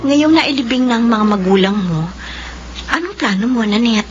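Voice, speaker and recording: A woman's voice: on the high side, lively intonation, moderate pace, highs cut off, over loud music, lightly acted. An actress playing a caring young female friend, in a radio drama. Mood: sympathetic.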